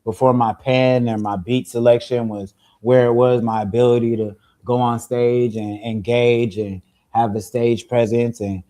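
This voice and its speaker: A man's voice: mid-range voice, little pitch movement, a little slow, hardly any pauses, mellow. A young man, a rapper, telling his story in a laid-back, easygoing way during a casual interview. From Zimbabwe-born, raised in Seattle. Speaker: rapper guest.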